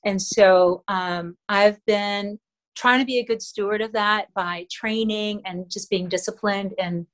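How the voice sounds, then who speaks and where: A middle-aged woman from the Philippines whose first language is Tagalog, talking earnestly as an interview guest with fillers and soft laughs. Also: medium pitch, steady tone, unhurried, poor audio. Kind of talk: casual interview talk.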